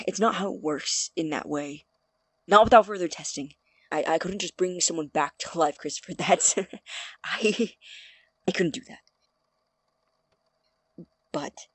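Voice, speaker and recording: A nervous young male student scientist played by an actor in an audio drama, husky and breathy in a very dry recording. He stammers and defends himself earnestly, obsessed with his work. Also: medium pitch, no room sound. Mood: nervous, confessing.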